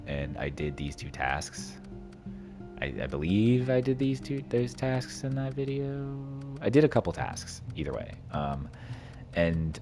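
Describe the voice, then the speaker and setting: Very deep-voiced man rambling over gameplay. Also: background noise. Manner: rambling, hesitant, repeats himself. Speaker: gaming video host. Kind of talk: casual off-the-cuff game commentary.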